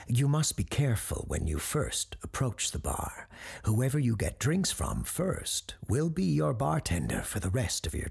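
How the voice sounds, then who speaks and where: An actor playing an eerie, learned radio host who tells supernatural tales in a measured, ominous way with deliberate pauses, his voice husky and gravelly. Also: fairly low pitch, a little mellow, exaggerated voice. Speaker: paranormal advice show host. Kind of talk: scripted dramatic storytelling.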